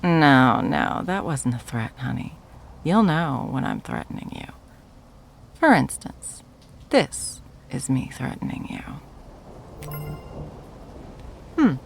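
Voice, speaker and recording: A woman's voice: lowish voice, gravelly, a little hiss or hum, full character voice. A woman playing a wealthy, possessive corporate executive ex-girlfriend in an ASMR roleplay, condescending and sarcastic with a menacing edge. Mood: smugly menacing. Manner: condescending, sarcastic, drawling sweet talk. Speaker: voice actor in audio roleplay. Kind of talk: ASMR roleplay, dramatic monologue.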